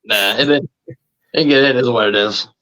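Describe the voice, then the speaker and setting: A man in his thirties chatting casually as a podcast guest, halting and often repeating his words and phrases. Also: medium pitch, pushed and bright. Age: 30s. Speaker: podcast guest, video creator. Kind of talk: casual interview chat.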